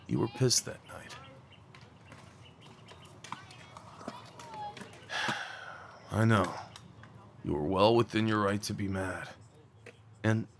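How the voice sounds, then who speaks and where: A man acting a young small-town guy, an awkward and tender old friend, in a casual, halting, intimate audio roleplay. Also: mid-range voice, slightly rough. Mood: apologetic, regretful. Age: young adult. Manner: halting, stammering, slangy, chuckling.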